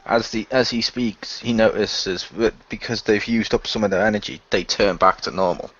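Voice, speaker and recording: A man's voice: fairly low pitch, fairly even intonation, normal speed, fairly bright tone, dull audio. A man taking part in a casual, improvised radio play as a cast member.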